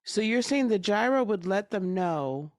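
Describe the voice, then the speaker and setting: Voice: medium pitch, lively intonation, a little slow, no echo, clean studio sound. A podcast host in a casual interview, agreeing readily and asking eager follow-up questions.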